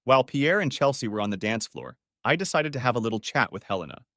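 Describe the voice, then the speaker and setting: A man's voice: medium pitch, fast, no room sound, studio quality. A man narrating a first-person story in scripted storytelling style. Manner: expressive storytelling.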